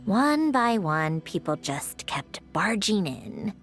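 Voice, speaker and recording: A woman's voice: medium pitch, very animated, takes it slowly, fairly bright tone. A woman playing an aloof, prickly young woman with a melancholy streak and a haughty, sighing manner in a video game. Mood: grieving, reflective. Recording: music under the voice. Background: background music.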